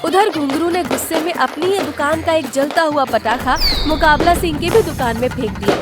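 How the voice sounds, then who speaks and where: High-voiced woman narrating a cartoon story. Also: normal speed, loud music behind the voice.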